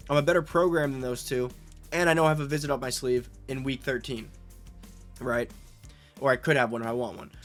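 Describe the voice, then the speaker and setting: Congested man hosting a gaming guide. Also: a little high. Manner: energetic, salesy, repeats "guys" and "right". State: congested from a cold. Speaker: gaming channel host. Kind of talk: video game strategy walkthrough.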